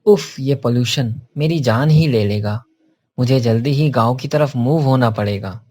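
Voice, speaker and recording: A man's voice: medium pitch, a little slow, darkish tone, dry recording, lightly acted. A man playing an earnest office worker and devoted family man in a cartoon story, explaining himself plainly. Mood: weary, troubled.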